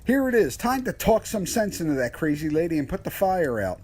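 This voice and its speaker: A man playing a bewildered, skeptical trucker in an audio horror drama, husky and incredulous. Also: on the high side, very animated, fairly quick, a little mellow, slight background noise. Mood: startled, grumbling. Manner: incredulous, exclaiming.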